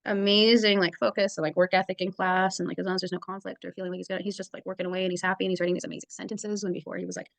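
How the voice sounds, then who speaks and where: A woman's voice: lowish voice, little pitch movement, rapid-fire, vocal fry, hardly any pauses, dry recording. A woman who is a kindergarten teacher chatting casually on a video call, rambling with many fillers. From native English speaker. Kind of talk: casual mentor meeting chat.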